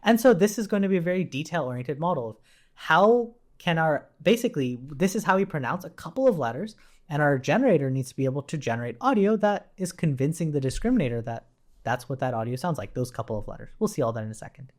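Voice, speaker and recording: A man's voice: a little high, big pitch swings, quick pace. A man giving a casual, explanatory coding tutorial, thinking aloud in an informal way as he works. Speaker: programming tutorial instructor.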